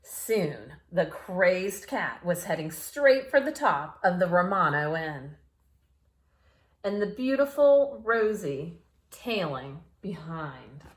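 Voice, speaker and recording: Female children's read-aloud storyteller: slow pace, highly varied intonation, playful, talks directly to kids. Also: big pitch swings. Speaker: storyteller reading picture books aloud for children. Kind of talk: animated read-aloud with asides to young listeners.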